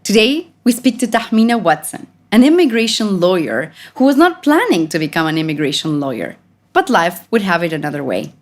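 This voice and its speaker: A woman's voice: on the low side, sing-song, a little fast. A woman hosting a podcast, reading an introduction and asking interview questions with enthusiasm. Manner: enthusiastic, some restarts. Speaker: podcast host.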